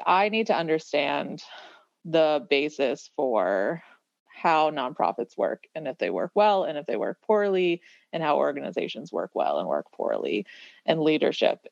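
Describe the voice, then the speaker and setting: A woman's voice: deep voice, gravelly, no echo, muffled recording. A woman of about thirty talking reflectively in a casual podcast conversation, building her points through repeated phrases. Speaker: podcast guest.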